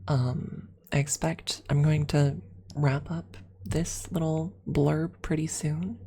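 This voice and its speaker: A woman streamer telling a story in a soft, breathy ASMR style, speaking haltingly and often slipping into a whisper close to the microphone. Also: deep voice. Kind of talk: soft-spoken ASMR storytelling to a live chat.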